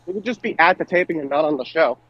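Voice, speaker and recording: A man's voice: on the high side, lively intonation, fast, dull audio. A man co-hosting a podcast, speaking casually and informally as he discusses wrestling. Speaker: podcast co-host.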